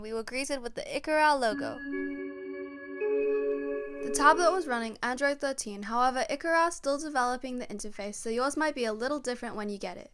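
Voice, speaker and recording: A woman's voice: sits high, a little hiss or hum. A woman reading a scripted unboxing narration as the reviewer of a product.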